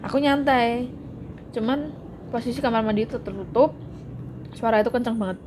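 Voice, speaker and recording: A woman's voice: fairly high pitch, moderate pace. A woman, casually telling a ghost story and joking around. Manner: chatty, jokes around between spooky anecdotes. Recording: noisy room recording.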